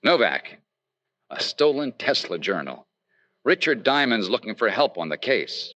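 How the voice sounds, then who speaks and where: A man playing a brisk, sardonic, confident insurance investigator in an old-time radio detective drama. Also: medium pitch, very animated, sharp tone, no room sound, highs cut off. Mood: upbeat. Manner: sardonic, snappy.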